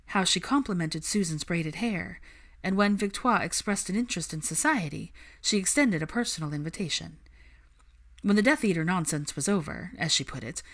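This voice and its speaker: A woman's voice: lowish voice, a bit bright. A woman reading a story aloud as a narrator, in a scripted storytelling style. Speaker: audiobook-style narrator of fan fiction.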